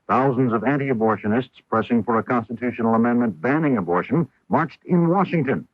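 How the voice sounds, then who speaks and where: A man's voice: mid-range voice, no echo. A man with an American accent reading scripted network news as a radio anchor. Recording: dull lo-fi 1980s radio broadcast.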